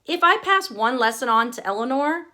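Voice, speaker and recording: Bright-voiced woman with a light Texas accent. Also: high voice, lively intonation, normal speed. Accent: slight Texas. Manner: wry, humorous storytelling. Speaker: author. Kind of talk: reading her own memoir aloud.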